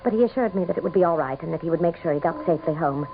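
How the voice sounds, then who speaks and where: An actress playing a vain, cold, glamorous governor's wife in a radio drama. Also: female voice, fairly low pitch, rapid-fire, over loud music, natural voice. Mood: sombre narrating. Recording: tinny, faintly noisy old radio recording.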